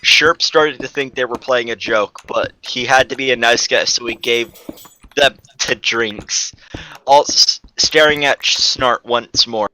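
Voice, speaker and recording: A young man reading a fan fiction aloud to friends on a stream, halting and repeating words as he goes. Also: mid-range voice, pushed and bright, rough recording.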